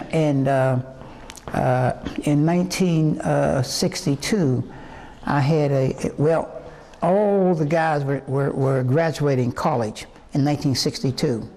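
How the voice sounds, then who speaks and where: A man in his eighties from West Virginia, a singer telling his life story in an interview in a halting, rambling way full of fillers and restarts. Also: a little high, a little mellow. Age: 80s. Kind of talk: reminiscing interview answers.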